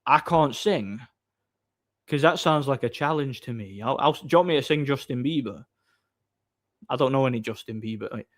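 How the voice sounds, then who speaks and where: A man's voice: medium pitch, expressive, moderate pace, long pauses. A man, chatting casually on a livestream, reading out viewer messages and answering with mocking boasts. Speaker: live streamer reading chat.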